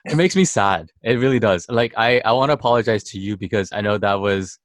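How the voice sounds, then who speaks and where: Low-voiced young man chatting and laughing. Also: brisk, dry recording. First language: Chinese. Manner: playful, laughs often. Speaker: podcast co-host. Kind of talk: casual chat.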